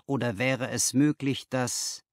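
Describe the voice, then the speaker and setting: A man voicing a cool, shrewd male detective in an audiobook, pleased and pensive, with a measured, savoring delivery. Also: medium pitch, steady tone, no echo, clean studio sound. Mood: pensive, probing.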